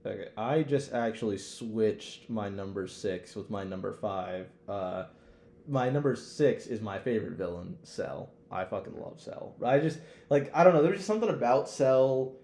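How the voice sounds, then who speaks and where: Man, podcast co-host, relaxed dark tone, slangy casual talk, halting phrasing, roomy echo. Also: fairly low pitch, creaky. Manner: informal, hesitant phrasing peppered with "like". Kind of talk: casual chat with slang and swearing. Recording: roomy, echoey sound.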